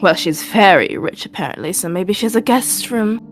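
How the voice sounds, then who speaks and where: A woman's voice: medium pitch, animated, moderate pace, soft background music, lightly acted. A woman playing a wry, practical, sociable adventurer in a tabletop game, with a teasing, slightly hesitant manner. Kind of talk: tabletop roleplay.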